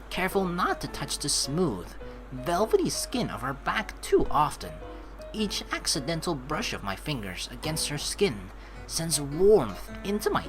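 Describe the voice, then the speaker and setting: A woman doing let's play commentary, expressively reading the narration aloud and reacting to it, with game music in the background. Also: deep voice. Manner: expressive, exclaiming, reacts out loud. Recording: faint game music behind the voice.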